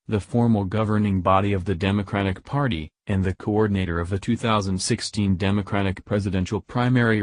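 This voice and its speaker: A man's voice: fairly low pitch, darkish tone, dry recording. A man reading scripted political news as a voice-over narrator.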